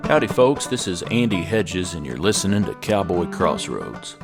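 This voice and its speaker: A man hosting a cowboy culture podcast, reciting a poem and introducing his guest in a slow, measured way. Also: deep voice, big pitch swings, vocal fry, loud music behind the voice. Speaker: podcast host. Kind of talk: poetry recitation and show introduction.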